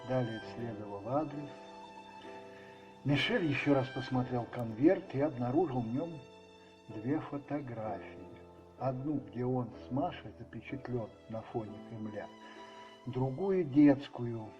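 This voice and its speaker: Expressive male radio play narrator, music underneath. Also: mid-range voice. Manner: expressive, reflective, with pauses and rhetorical asides. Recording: slightly muffled recording with music underneath.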